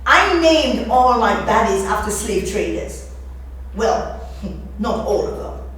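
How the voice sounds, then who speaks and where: A woman's voice: a little high, animated, takes it slowly, fairly bright tone. A woman playing a sly revolutionary Regency novelist in stage dialogue, knowing and pointed. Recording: echoey room, distant mic, faint noise.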